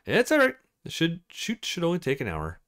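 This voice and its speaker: A man's voice: fairly high pitch, huge pitch swings, normal speed, a bit bright, pitched above the performer's own voice, exaggerated voice. A man voicing a confident, teasing young woman, a model in a visual novel, in a breezy, playful manner. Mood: easygoing.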